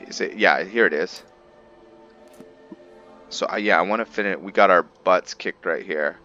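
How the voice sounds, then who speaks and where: Brief: man doing let's-play commentary, deep bright voice, unhurried, muffled mic with faint game music. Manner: rambling, thinking aloud with many ums. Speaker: gaming video creator.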